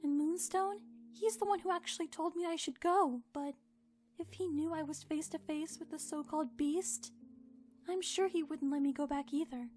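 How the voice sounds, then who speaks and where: Here is a gentle young pony princess from an animated drama, acted by a woman. Her voice is extremely high, and she speaks politely and hesitantly, earnest and curious. Music plays underneath. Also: normal speed, lightly acted. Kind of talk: animated fan drama acting.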